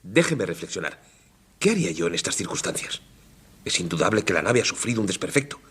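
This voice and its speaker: An actor playing a tense doctor in command of a desperate mission in a radio drama. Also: male voice, low-pitched, big pitch swings, fast, a bit bright, breathy edge, heavily acted. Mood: deliberate, commanding. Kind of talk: vintage Spanish radio drama acting.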